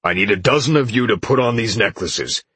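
A man voicing a gruff, terse male rebel leader in a fantasy story, with a growling, husky voice. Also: extremely low pitch, normal speed, pushed and bright, no room sound, full character voice. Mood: firm, businesslike. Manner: terse, bossy.

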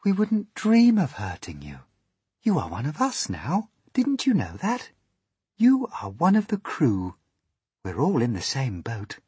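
A man voicing a kindly, motherly female ladybird in a storybook, speaking in a gentle, reassuring manner. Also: medium pitch, very animated, breathy edge, no echo, voice pushed up. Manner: gentle, soothing, unhurried.

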